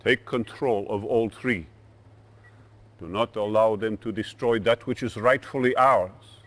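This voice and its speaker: An actor playing a ruthless, impatient Soviet dictator in a video game cutscene, commanding and contemptuous. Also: male voice, on the low side, unhurried, fairly bright tone. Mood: stern, commanding.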